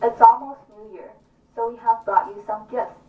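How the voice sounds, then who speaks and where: A woman voices a friendly, polite puppet-play character. Her voice is on the high side and earnest. It comes across dull and echoey, from some distance. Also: moderate pace, natural voice. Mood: grateful. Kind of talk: scripted puppet show acting. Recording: echoey distant dull stage recording with music.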